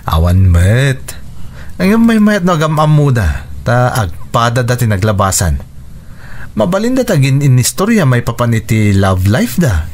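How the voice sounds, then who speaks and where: A man's voice: medium pitch, huge pitch swings, measured pace, darkish tone, slight background noise. An actor playing a playful, eager young man in a radio drama, with an Ilocano accent. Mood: sly, hopeful. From Philippines.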